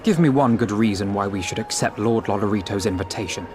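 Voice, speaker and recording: An actor playing a proud, wary young diplomat in a video game, speaking with formal, precise eloquence. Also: male voice, on the low side, fast talker, over music, natural voice. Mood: indignant, suspicious. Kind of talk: scripted video game character dialogue.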